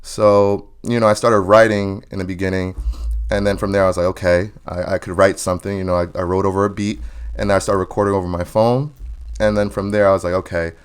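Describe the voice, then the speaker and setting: Young guy with a low smooth voice, laid back, lots of you know's. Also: brisk. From New Jersey or New York area. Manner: relaxed, laughs often, many "you know" fillers. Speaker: guest singer. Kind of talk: casual interview chat.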